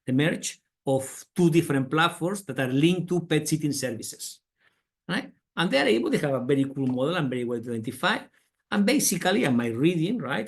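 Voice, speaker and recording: Spanish-accented middle-aged professor lecturing. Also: male voice, mid-range voice. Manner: tag questions and "right?" fillers, enthusiastic. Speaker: business school professor. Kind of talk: webinar lecture. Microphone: computer mic on a video call.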